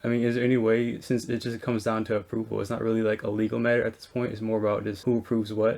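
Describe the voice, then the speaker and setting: A man's voice: on the low side, vocal fry, a little mellow. A man talking informally and in a conciliatory way in a casual business negotiation. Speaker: real estate wholesaler negotiating on calls.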